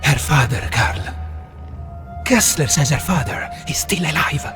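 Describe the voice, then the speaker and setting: An actor playing an earnest, anxious resistance partisan in a wartime video game, speaking with urgency. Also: male voice, a little high, sing-song, unhurried. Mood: urgent, distressed. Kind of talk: cinematic video game acting. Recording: faint music behind the voice.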